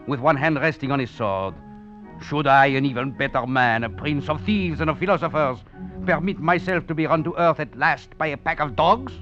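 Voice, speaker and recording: An actor playing a proud, half-crazed fugitive master thief, narrating theatrically in a 1940s radio drama. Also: male voice, medium pitch, a bit bright, close to an everyday voice. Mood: haughty. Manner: theatrical, grandiose, brooding. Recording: dull vintage 1940s radio with music behind.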